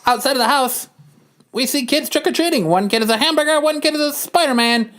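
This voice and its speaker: A woman's voice: high voice, brisk, fairly bright tone. A woman narrating scene directions as part of a dramatic comic-book reading on a podcast.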